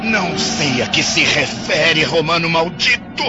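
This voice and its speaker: An actor playing a defiant, scornful rebel fighter in a radio drama, with a gravelly voice. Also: male voice, a little high, animated, unhurried. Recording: dull radio-drama recording, music behind.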